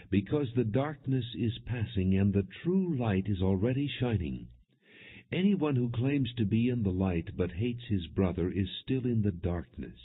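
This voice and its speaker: Low husky guy reading the bible out loud, slow solemn phrasing, like an audiobook. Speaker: audiobook narrator. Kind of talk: non-dramatized Bible reading. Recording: muffled, phone-like narrow-band audio.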